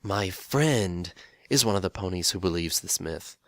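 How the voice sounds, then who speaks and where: A man's voice: low-pitched, expressive, unhurried, no echo. A man voicing a practical, easygoing male handyman pony who talks in a casual, wry and matter-of-fact way.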